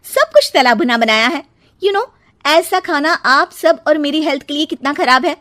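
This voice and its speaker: Lady doing a breezy fitness-mad young wife for a cartoon, high voice, bits of english, dry recording. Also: lively intonation, normal speed, pushed and bright, no room sound. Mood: disapproving. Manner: drops English phrases into speech. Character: young fitness-obsessed daughter-in-law, breezy and clumsy.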